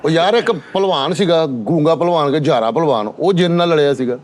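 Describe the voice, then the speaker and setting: A quick-talking man with a Pakistani Punjabi accent and a fairly high voice. He tells stories casually among friends, insisting on them with some bravado. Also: darkish tone, slight background noise. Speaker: talk show panellist. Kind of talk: casual storytelling.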